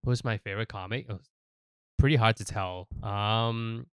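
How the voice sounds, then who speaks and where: A man's voice: lowish voice, fairly even intonation, normal speed, clear, resonant tone, no room sound, studio quality. A man from Malaysia hosting a casual podcast, explaining things in a rambling way and often checking in with "right?" and "you know". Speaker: podcast host.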